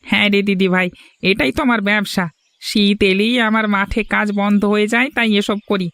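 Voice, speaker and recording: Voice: medium pitch, fairly even intonation, fairly quick, fairly bright tone, no room sound, close to an everyday voice. An actor playing an elderly, grandfatherly village street hawker with a folksy, affectionate manner in a cartoon story. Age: older.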